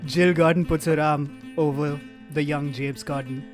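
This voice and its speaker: A man's voice: fairly high pitch, fairly even intonation, a little slow. A man narrating stage directions dramatically at a table read, slipping into casual asides. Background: live guitar music.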